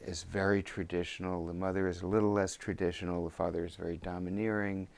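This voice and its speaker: A man's voice: low voice, little pitch movement, fast, gravelly. A man interviewing in a conversational way, with a wry, teasing manner.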